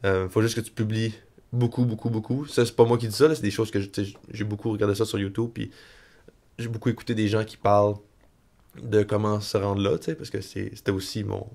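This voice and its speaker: A man with a Canadian French accent hosting a podcast, easygoing and quick to agree in casual chat. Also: fairly low pitch, steady tone, gravelly, darkish tone. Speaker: podcast host.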